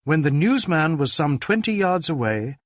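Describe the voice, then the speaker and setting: A man narrates a detective novel as an audiobook reader. His voice sits a little high for a man. The recording is very dry, with no room around the voice. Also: big pitch swings, moderate pace, no room sound. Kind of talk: scripted literary narration.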